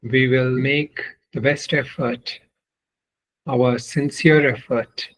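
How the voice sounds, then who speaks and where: A man leading a guided meditation as a spiritual teacher, speaking in short, deliberate phrases with long pauses. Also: mid-range voice, steady tone, low-quality recording. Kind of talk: guided meditation, spoken instruction.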